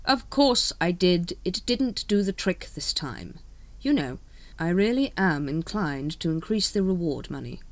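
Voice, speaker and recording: An irritable, calculating lord of the city acted out by a woman reader speaks in a drawn-out, deliberate way. The audiobook voice swings widely in pitch over a dry, close recording. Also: sing-song, no room sound. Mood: fuming, muttering. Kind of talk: audiobook character voice.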